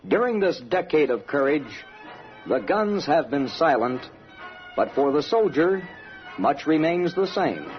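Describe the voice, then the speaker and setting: American man, slightly high voice, very slow dramatic narration, muffled vintage radio, music. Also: big pitch swings. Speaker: documentary narrator. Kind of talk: 1960s military radio documentary narration, scripted. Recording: dull old radio recording, music behind.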